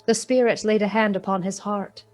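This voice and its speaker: A woman playing the storyteller of a Victorian ghost tale, with measured, literary, expressive delivery in a radio play. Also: medium pitch, faint music behind, lightly acted. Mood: measured, wondering. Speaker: narrator.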